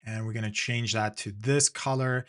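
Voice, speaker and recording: A man's voice: lowish voice, fairly even intonation, moderate pace, fairly bright tone. A man from South Africa narrating a screen-recorded design tutorial, talking casually and walking through each step with the odd filler.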